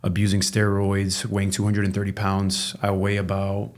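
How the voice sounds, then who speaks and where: A man's voice: fairly low pitch, moderate pace. A man from Cuba, a chiropractor, talking candidly as a guest on a casual podcast, often tagging his points with "right?" and cursing freely. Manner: relaxed and candid, tags statements with "right?", swears freely.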